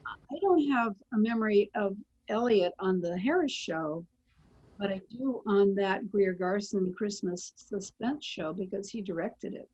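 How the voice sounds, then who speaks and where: An older American woman with a raspy, worn voice of middling pitch. She reminisces as a panel guest, pausing to search for the memory. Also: a little mellow, dull audio. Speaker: panel guest, former child radio actress. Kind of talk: reminiscing in an online panel interview.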